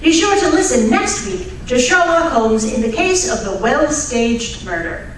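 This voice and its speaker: A woman plays a polite old-time radio hostess with a polished, formal announcing style. Her voice is fairly high. It carries from a distance through a very echoey hall with faint noise. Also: sing-song, measured pace. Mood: polite, presenting. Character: Miss Harris, bright, polite radio program hostess. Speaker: announcer, host.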